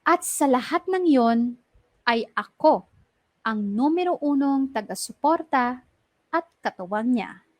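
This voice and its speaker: A woman's voice: high-pitched, lively intonation, slow, pauses often. A woman with a Filipino accent acting a young woman who earnestly narrates her own story of a close friendship in a radio drama. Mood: earnest, admiring. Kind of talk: scripted first-person narration.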